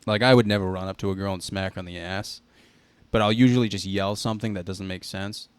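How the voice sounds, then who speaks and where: A man hosting a podcast, chatting casually with crude, teasing jokes in a low voice. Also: steady tone, fast, clean tone, no echo. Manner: joking, teasing, blunt. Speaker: podcast host.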